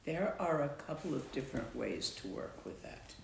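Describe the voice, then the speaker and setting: A woman teaching meditation and answering a student's question in a slow, measured way. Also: low-pitched, very animated, gravelly, a little mellow, echoey room, a little hiss or hum. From Latvian background. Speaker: Dzogchen teacher.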